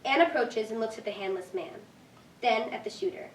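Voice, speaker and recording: A woman with a fairly high voice reads stage directions as scripted narration. The room is echoey and she sounds some distance from the mic, with faint noise behind. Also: expressive, fairly quick.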